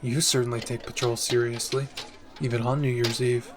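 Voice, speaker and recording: A man playing a calm, polite, literal-minded young anime pro hero, soft-spoken and deadpan, in an audio roleplay. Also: medium pitch, normal speed, background noise, lightly acted. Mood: mildly puzzled.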